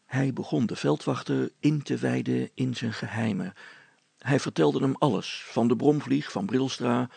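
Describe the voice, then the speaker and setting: A man narrating a children's story as an expressive storyteller. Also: mid-range voice, measured pace, creaky, breathy edge, dry recording.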